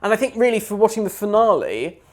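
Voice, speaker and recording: A man's voice: high voice, very animated, fast, darkish tone. A man co-hosting a podcast, casually and animatedly retelling a TV plot and acting out the characters' lines. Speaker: podcast co-host. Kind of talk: casual chat.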